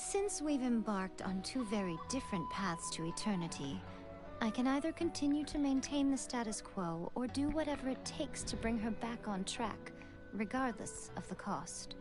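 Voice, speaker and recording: A woman voicing a sly, teasing fox-spirit woman in a video game, speaking in a playful, unhurried way. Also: a little high, animated, close to an everyday voice. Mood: wistful. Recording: game audio with music playing behind.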